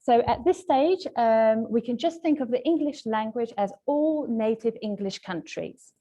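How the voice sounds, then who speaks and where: A woman presenting a webinar lesson as a language trainer, explaining points in a polite, measured, semi-scripted way. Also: fairly high pitch, mellow.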